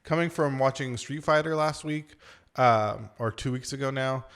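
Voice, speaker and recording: Mid-pitched man in relaxed conversation. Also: moderate pace, smooth. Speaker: podcast co-host. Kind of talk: casual movie review chat.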